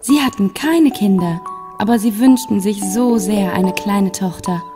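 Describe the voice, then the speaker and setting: A woman's voice: medium pitch, measured pace. A woman narrating a scripted children's fairy tale as a storyteller. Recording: long reverb, music behind.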